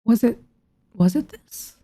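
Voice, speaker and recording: A woman's voice: measured pace, dark tone, faint music behind. A woman streamer giving casual game commentary, thinking aloud in a musing, questioning, informally sweary way. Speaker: gaming streamer reacting to game story.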